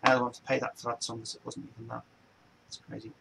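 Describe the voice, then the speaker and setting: A man in his forties, from England, chatting as a show host in a rambling, easygoing way. His voice sits at a middling pitch with a lot of gravelly fry. There is a faint hiss of noise behind him. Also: level tone, fast talker. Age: 40s. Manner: loose, meandering, repeats himself and restarts often. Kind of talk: rambling casual chat.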